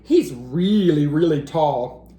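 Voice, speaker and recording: A woman's voice: low-pitched, lively intonation, slow, warm tone, roomy sound. A woman voicing enthusiastic young cat pupils in a picture book, exclaiming with admiration. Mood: delighted.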